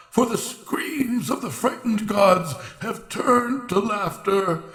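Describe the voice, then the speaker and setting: A man's voice: high-pitched, a bit bright, reverberant, voice pushed up, exaggerated voice. A man voicing a zealous wise old man in a storybook, crying out declamatory, archaic exclamations. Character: shrieking zealous old wise man, exultant turning terrified. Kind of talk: dramatic storybook character reading.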